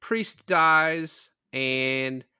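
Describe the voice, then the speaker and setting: A man's voice: fairly high pitch, extremely slow, sharp tone, clean tone, no echo, clean studio sound. A man having a casual film discussion in a joking, informal way with some swearing.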